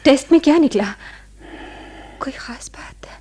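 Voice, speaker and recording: An actress playing a devoted, anxious wife in a Hindi radio drama, with a breathy voice. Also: female voice, high voice, expressive, unhurried, mellow. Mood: hushed, anxious. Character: devoted, anxious wife fearing for her husband.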